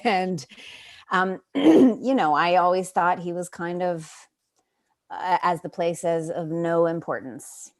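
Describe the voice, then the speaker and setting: A woman's voice: on the low side, takes it slowly. An American woman speaking as an interview guest, reflecting earnestly and thoughtfully on her work and building emphatic lists as she goes.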